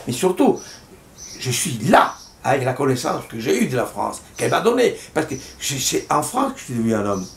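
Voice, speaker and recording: An older man from Italy, a painter speaking French with an Italian accent, who reflects on his life and his paintings in a halting, heartfelt way, stumbling over repeated words. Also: on the high side, vocal fry. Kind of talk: reflective personal monologue. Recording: roomy echo.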